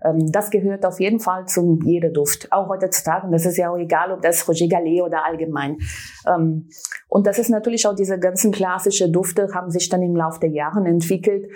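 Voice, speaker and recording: Brazilian lady guest on a talk show, kinda husky lowish voice, lots of ums, excited about her work. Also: a little fast. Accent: Brazilian Portuguese. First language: Portuguese. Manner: hesitant, many fillers, enthusiastic. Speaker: guest, brand manager.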